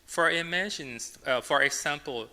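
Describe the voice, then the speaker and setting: A man with a Thai accent presents his work as an artist and designer to a symposium. His voice is very bright and forceful, with halting, emphatic phrasing. It rings with the long reverb of a large hall. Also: a little high. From Thailand. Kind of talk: public presentation in non-native English. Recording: reverberant hall, amplified talk.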